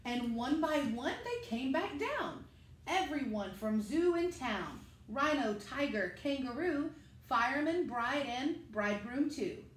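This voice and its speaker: A middle-aged woman, a school teacher, reading a picture book aloud to children and speaking to them directly in an animated way. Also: sits high, slow. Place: living room at home, indoors. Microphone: camera a few metres away. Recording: echoey room with faint noise.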